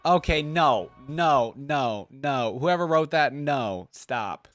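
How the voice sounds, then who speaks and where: A woman's voice: rumbling low, expressive, takes it slowly. A woman giving casual reaction commentary as a host, with mock-exasperated muttered echoes and short interjections. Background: game voice lines and music.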